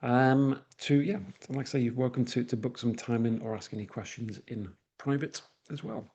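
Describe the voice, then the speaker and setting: A man's voice: medium pitch, steady tone, moderate pace, a little mellow, dull audio. A man from northwest England with a Manchester accent, giving a webinar presentation with frequent uhs and restarts. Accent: Mancunian.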